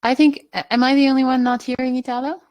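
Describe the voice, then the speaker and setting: A woman's voice: high voice, flat delivery, fast, a little mellow. A woman hosting an online panel, introducing guests in a casual, chatty way with small fillers and apologies. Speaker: host. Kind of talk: casual video call moderation. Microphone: video call.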